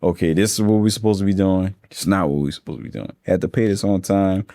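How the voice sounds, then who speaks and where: Man, 30s, very low voice, casual slangy podcast talk, dry close sound. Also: quick pace, warm tone, no echo. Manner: laid-back slangy talk, calls listeners bro. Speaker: funding expert guest.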